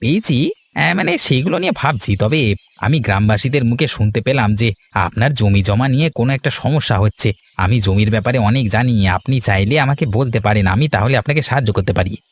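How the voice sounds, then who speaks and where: A man's voice: mid-range voice, brisk, natural voice. Man acting sly, glib village swindler. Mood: ingratiating. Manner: glib, persuasive, scheming. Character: sly, smooth-talking village swindler, greedy male trader. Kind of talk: cartoon fairy-tale dialogue. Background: background music.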